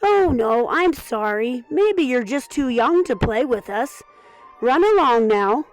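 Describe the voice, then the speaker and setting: A woman voicing a bossy young snake who runs the game, loud and dismissive. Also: fairly high pitch, expressive, slow pace, faint music behind, rough recording.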